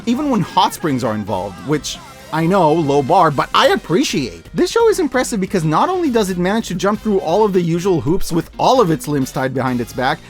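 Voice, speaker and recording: Male reviewer, high register, very expressive swings, emphatic and wry, casual scripted commentary over music. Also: a little fast. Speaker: video reviewer.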